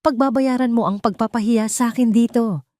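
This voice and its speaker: An arrogant cheating husband and executive in an audio drama, acted by a woman. He blusters and commands, his pitch pushed up above the narration, in a dry recording. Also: steady tone, brisk, mellow, no room sound, lightly acted. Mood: furious.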